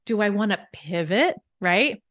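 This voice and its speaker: A woman's voice: medium pitch, animated, unhurried, fairly bright tone, no echo, clean studio sound. A woman financial coach, a podcast guest, who explains things eagerly and step by step with worked examples. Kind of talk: casual podcast interview.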